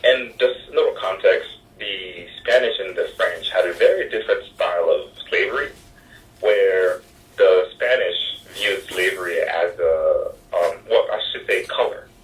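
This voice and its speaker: A man from Haiti, a think tank director, speaking as a guest on a phone-in interview, halting with frequent ums. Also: sits low. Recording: roomy phone line from far off.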